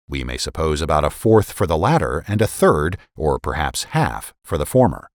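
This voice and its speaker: A man narrating a dramatic reading of an old political essay in a formal, measured, emphatic oratorical manner. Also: fairly low pitch, dry recording, clean studio sound. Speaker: podcast host and narrator.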